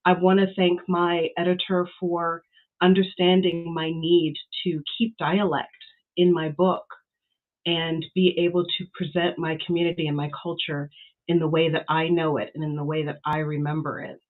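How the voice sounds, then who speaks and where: A woman's voice: lowish voice, level tone, moderate pace. A woman giving an award acceptance speech as an author. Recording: band-limited, slightly dull audio.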